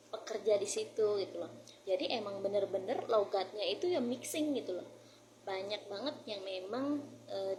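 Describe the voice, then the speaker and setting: A woman from Indonesia speaks in a mid-range voice with an Indonesian accent. She chats informally as a vlogger, slipping between Indonesian and English phrases as she shares learning tips. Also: medium pitch. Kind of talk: casual vlog chat.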